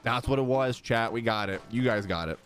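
A man's voice: mid-range voice, a little fast, a bit bright. A man in his thirties giving casual live commentary as he plays, close on a desk microphone. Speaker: game streamer. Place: indoor gaming room. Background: faint game music.

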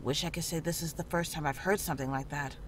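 A woman acting as a calm female healer in a horror game, with a lowish voice. She is measured and matter-of-fact, frank but reassuring. There is clear noise and ambience behind her. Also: natural voice, poor audio. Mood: serious, confiding. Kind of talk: visual novel character dialogue.

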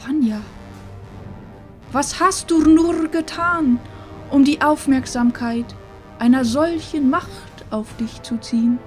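Woman as an anxious old grandmother, high-pitched. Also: slow, darkish tone, faint music behind. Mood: pleading. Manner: urgent, pleading. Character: anxious, protective old village grandmother and seer. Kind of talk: fantasy audio drama acting.

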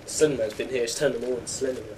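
A young man chatting casually as a gaming co-host, excitable, given to jokey complaining and yelling. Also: medium pitch, rapid-fire. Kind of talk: casual gameplay banter. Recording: clear noise, game sound behind. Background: video game sounds.

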